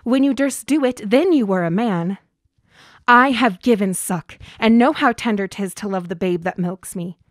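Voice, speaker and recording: A woman's voice: medium pitch, normal speed, no echo, clean studio sound, close to an everyday voice. An actress playing a ruthless, ambitious noblewoman, goading and commanding in Shakespearean verse for a radio drama. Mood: goading, fierce. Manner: scornful, commanding verse delivery. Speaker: actor.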